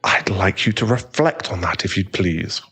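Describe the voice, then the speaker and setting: A man playing a calm, frank professional therapist in an audio drama, speaking in a measured, formal, tactful way. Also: sits low, pitched below the performer's own voice. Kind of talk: dramatic audio roleplay acting.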